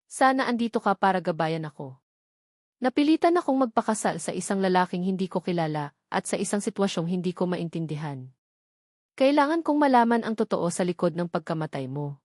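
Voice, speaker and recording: A woman narrator voicing a guarded, defiant female lawyer in an audio drama, stern and measured. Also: on the low side, expressive, darkish tone, no room sound, clean studio sound. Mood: grieving, resolute.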